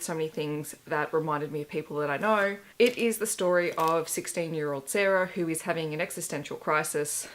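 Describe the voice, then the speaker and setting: A woman in her thirties with a low, hoarse voice that sounds worn thin. She chats casually as a book vlogger, candid and quick to poke fun at herself. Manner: chatty and candid, with self-deprecating asides. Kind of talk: casual book wrap-up vlog.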